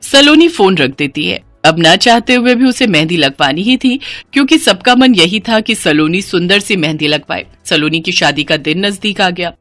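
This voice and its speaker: A woman's voice: lowish voice, animated, normal speed, a bit bright, faint music behind. A woman narrator reading a scripted story.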